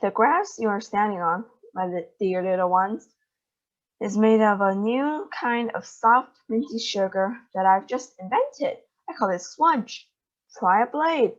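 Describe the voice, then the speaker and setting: A woman's voice: medium pitch, measured pace, lightly acted. A woman voicing an eccentric, boastful male chocolate factory owner in a storybook reading. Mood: exuberant. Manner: exuberant, boastful, theatrical. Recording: dull lo-fi recording.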